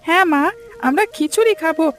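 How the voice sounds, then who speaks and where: A woman's voice: extremely high pitch, brisk, soft background music. A woman voicing an eager, pleading little boy in a cartoon. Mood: eager, happy. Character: eager, hungry little child, a grandson visiting from the city. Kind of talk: cartoon voice acting.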